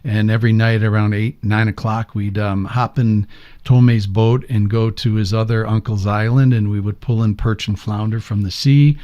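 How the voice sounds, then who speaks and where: An American man in his sixties talks with a mellow, rounded voice. He is a podcast guest telling easygoing stories about his past, with a chuckle here and there. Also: lowish voice, moderate pace, highs cut off. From upstate New York, USA. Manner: relaxed, anecdotal, laughs between stories. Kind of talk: conversational storytelling.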